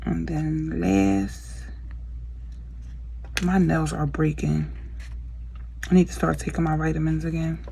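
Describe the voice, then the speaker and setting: A woman's voice: low voice, slow, vocal fry, long pauses, mellow, slight background noise. A young woman chatting casually through a craft how-to vlog, talking to her viewers with lots of fillers. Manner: chatty, many fillers, addresses viewers as "you guys". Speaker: craft vlogger.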